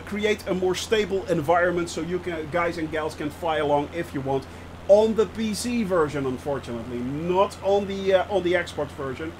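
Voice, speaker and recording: High-voiced chatty stream host. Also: male voice, background noise. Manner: chatty, thinking aloud, greets viewers by name with bursts of excitement; frequent hesitations and restarts while explaining. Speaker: livestream host of a flight simulator channel. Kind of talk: casual live-stream commentary to chat.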